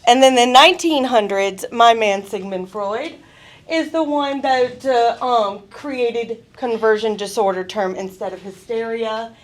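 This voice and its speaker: Woman presenting at a college talk, bit high voice, chatty and lively. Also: unhurried. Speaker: student presenter. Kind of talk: class presentation. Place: college event room.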